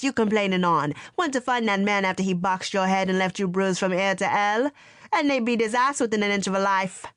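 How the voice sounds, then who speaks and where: A woman's voice: mid-range voice, smooth, no echo, natural voice. Woman doing a elderly Jamaican grandmother, thick Jamaican accent, firm, scolding, dry close sound. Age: older.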